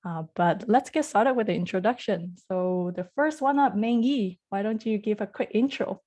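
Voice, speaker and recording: A woman's voice: mid-range voice, moderate pace, warm tone. A woman from Hong Kong hosting an online group session over a video call, chatty and friendly. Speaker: host.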